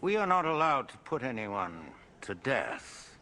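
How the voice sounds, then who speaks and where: A man's voice: medium pitch, big pitch swings, unhurried, pushed and bright, full character voice. An actor playing a stern, indignant male accuser with authority in a dramatic film.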